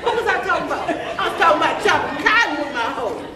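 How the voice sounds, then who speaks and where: A roomy, distant church recording with audience noise carries a woman's portrayal of an old granny. She is high-voiced, folksy and rambling, drawling as she scolds the young. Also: animated, fast, a crowd in the background. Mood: bossy, scolding. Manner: folksy, rambling, calls listeners baby. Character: old Southern former field-hand grandmother, folksy, scolding, rambling. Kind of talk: amateur gospel stage play monologue, storytelling. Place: church sanctuary stage, mid-sized hall. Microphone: camera some metres away.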